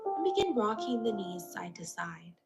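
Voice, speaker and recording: A woman with a medium-pitched voice leads a yoga routine, giving step-by-step instructions. Loud instrumental music plays underneath her voice. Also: big pitch swings, moderate pace, gravelly.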